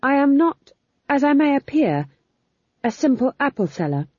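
A woman's voice: sits high, sing-song, moderate pace, a little mellow, dry recording, lo-fi sound, pitched above the performer's own voice. A woman voicing a young woman witch who is prim yet fierce, raised and insistent.

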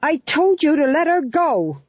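A woman voicing a small, hot-tempered male court fool with a high, shrill voice. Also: normal speed, slightly rough, no room sound, dull audio. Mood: furious.

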